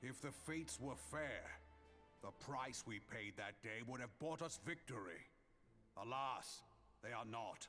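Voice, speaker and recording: An actor playing a fiery, commanding military leader, proclaiming solemnly in grand fantasy game oratory. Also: male voice, medium pitch, lively intonation, bright, forceful tone, soft background music. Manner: solemn, declamatory, measured proclamation. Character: fiery, commanding male military leader rallying his nation.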